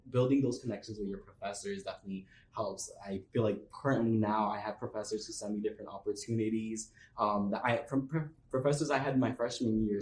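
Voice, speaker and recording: A man's voice: lowish voice, darkish tone. A young male university student giving upbeat, encouraging advice in a panel discussion. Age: young adult. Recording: roomy room, faint noise.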